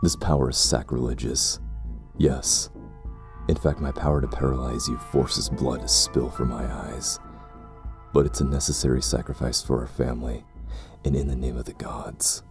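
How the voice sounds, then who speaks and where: A man playing a sinister, condescending zealot in an audio roleplay drama, speaking in a formal, measured way. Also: rumbling low, level tone, gravelly, over music. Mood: cold, composed. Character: sinister cursed brother, pompous, coldly condescending zealot.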